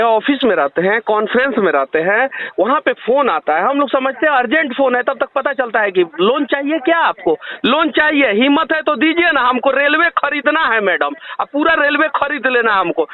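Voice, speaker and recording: High-pitched insistent Bihari man on the phone. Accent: Bihari Hindi. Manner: insistent, argumentative, sarcastic rhetorical questions. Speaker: caller confronting a loan telemarketer. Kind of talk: heated phone complaint. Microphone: phone line. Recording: tinny, narrowband phone call recording.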